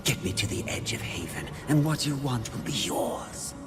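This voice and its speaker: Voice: mid-range voice, sing-song, moderate pace, lightly acted. An actor playing a seductive, scheming sin who taunts in a silky, sneering way over game music. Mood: taunting. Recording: cinematic game audio with music.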